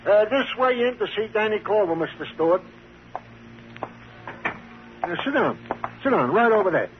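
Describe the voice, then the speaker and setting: An actor playing a genial, eager, bumbling police sergeant sidekick in old-time radio drama. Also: male voice, high voice, animated, moderate pace. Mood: eager, obliging. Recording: muffled, hissy old radio recording.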